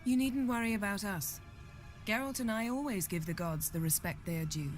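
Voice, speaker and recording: A woman's voice: mid-range voice, a little fast, faint music behind, lightly acted. A woman playing a sardonic, imperious sorceress in a fantasy game, wry and assertive. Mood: insistent. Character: sardonic, imperious sorceress, impatient and sharp-witted. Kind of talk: fantasy video game acting.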